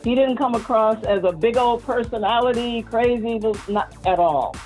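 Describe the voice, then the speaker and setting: A woman's voice: a little high, moderate pace, darkish tone, faint music behind. A woman in a casual interview, reminiscing about her television work and chuckling at times. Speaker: interviewed former TV co-host and actor.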